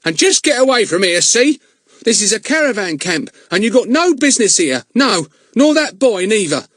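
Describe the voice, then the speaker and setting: Man doing a shrill, bad-tempered old woman. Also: big pitch swings, normal speed, a bit bright, dry recording, studio quality, full character voice. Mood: angry. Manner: scolding, coarse.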